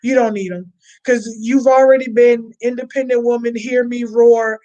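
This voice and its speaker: A woman's voice: a little high, fairly even intonation, normal speed, warm tone, lo-fi sound. A woman on a church discussion panel, speaking in an emphatic, opinionated way.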